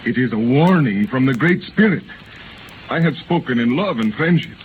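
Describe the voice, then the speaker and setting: A solemn young messenger voiced by a male actor speaks in stilted, foreboding oratory from 1930s radio drama. His pitch is middling. The recording is tinny, with plenty of noise. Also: animated, normal speed, lightly acted. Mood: solemn, warning.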